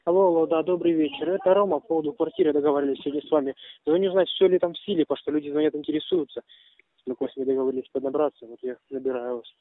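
Fast-talking man on a muffled phone. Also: on the high side, dry recording. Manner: wordy, repetitive, filler phrases. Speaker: man offering a flat for rent by phone. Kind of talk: casual phone conversation. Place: recorded phone call. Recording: muffled narrowband phone-call audio.

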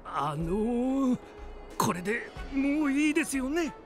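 A man playing a cowardly, fawningly polite comic crook in an animated game cutscene. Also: very high-pitched, slow pace, heavily acted. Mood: sheepish, fawning. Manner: obsequious, exaggeratedly polite. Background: music.

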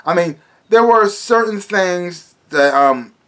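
A man's voice: sits high, expressive, slow pace, fairly bright tone, clean tone. An American man in his thirties giving a casual, emphatic movie review to camera, often repeating his words, recorded in a small room at home.

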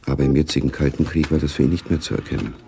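A man's voice: rumbling low, rapid-fire, creaky, noisy background. A man narrating a radio play spy story in a grave, knowing, literary manner. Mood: brooding.

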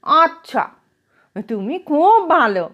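Woman voicing a nasal, high-pitched ghost servant boy. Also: very animated, takes it slowly, fairly bright tone, dull audio, heavily acted. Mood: pleased. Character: Makhan, nasal-voiced ghost of a fish-craving servant boy. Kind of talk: storybook character voice.

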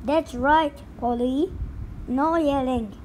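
Woman doing a pirate bus captain, high and very slow, all arrs and slang, big talk but a scaredy-cat. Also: a little mellow, a little hiss or hum. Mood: hearty. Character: blustering pirate captain turned bus driver, boastful but easily scared. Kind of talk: storybook read-aloud.

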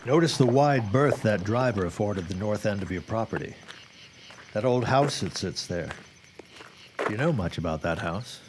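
A man's voice: fairly low pitch, lively intonation, moderate pace, noisy background, lightly acted. An actor playing a wary, grave 1910s newsman in a radio drama, speaking in formal, old-fashioned phrases. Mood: sombre. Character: grim, wary 1910s newsman and worried father. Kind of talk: period radio drama acting.

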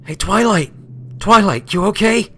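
A man voicing a brash, determined young female pegasus pony in a storybook reading, loud and urgent. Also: high voice, lively intonation, unhurried, husky, voice pushed up, heavily acted. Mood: worried, urgent.

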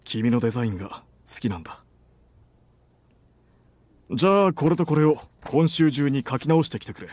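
Guy doing a breathy suave ceo boyfriend, 30s, soft but bossy, romance audio drama. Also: medium pitch, lively intonation, normal speed, long pauses, dry recording, lightly acted. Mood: businesslike, keen. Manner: gentle yet commanding. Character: suave, assertive 34-year-old company president, romantic boyfriend.

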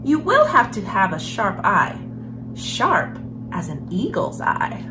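A young woman hosting a children's story time, reading a picture book aloud in an expressive storyteller's manner. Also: medium pitch, slow pace, noisy background. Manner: expressive, animated storyteller, with hushed passages. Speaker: host of a children's read-aloud series. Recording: music behind the voice. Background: background music.

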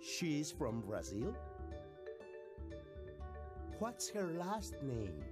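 A woman deliberately reading example phrases as scripted language-lesson narration. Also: rumbling low, wildly swooping pitch. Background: mallet percussion music.

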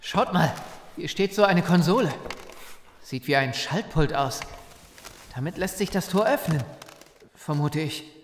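Actor as curious male survivor, higher-pitched, plain-spoken, audio drama, echoing hall. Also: expressive, a little slow, long pauses. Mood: alert. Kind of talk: German audio drama acting. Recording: reverberant hall, faint noise.

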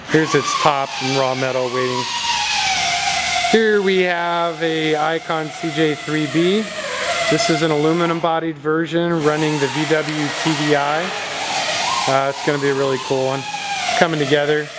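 A man's voice: a little high, moderate pace, heavy background noise. A man giving a casual walking tour of his custom vehicle workshop, listing technical details with frequent fillers. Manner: relaxed, technical, filler-heavy. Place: large indoor workshop and showroom.

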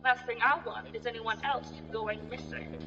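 High, bright-voiced folksy village gossip played by a woman. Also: fairly even intonation, normal speed. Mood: uneasy, insistent. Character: gossipy, uneasy rural New England villager, a farm neighbor. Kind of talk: radio drama acting, storytelling. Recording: dull echoing hall with music behind.

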